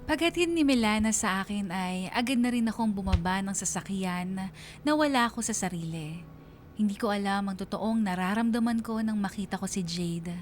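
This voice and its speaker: A woman's voice: mid-range voice, moderate pace, faint music behind, lightly acted. A woman playing a heartbroken young woman, narrating her love story earnestly in a radio drama. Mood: dazed, sad. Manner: earnest, sentimental.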